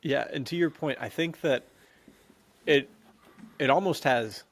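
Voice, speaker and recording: Enthusiastic American man with a gravelly edge. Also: a little high, a little slow. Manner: enthusiastic, slangy, laughs easily. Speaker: podcast co-host. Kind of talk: casual chat about action films.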